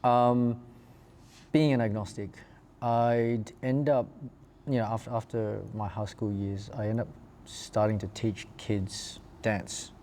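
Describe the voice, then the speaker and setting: A man's voice: fairly low pitch, fairly even intonation, slow pace, mellow. A man from Perth with an Australian accent, telling their story in a casual, informal and slightly rambling way as an interview guest. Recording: clear background ambient noise.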